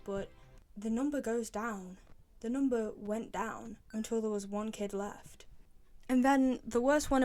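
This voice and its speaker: A young woman speaks in a fairly high voice as a fan host. She is chatty and informal, giving her opinions with open enthusiasm in casual commentary. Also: darkish tone.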